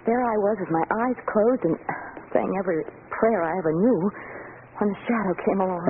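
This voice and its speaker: A woman's voice: mid-range voice, fairly quick, natural voice. A woman playing a crime-solver's grateful, inquisitive companion, eager and dramatic, in 1940s radio drama style. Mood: relieved, grateful. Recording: tinny, hissy 1940s radio recording.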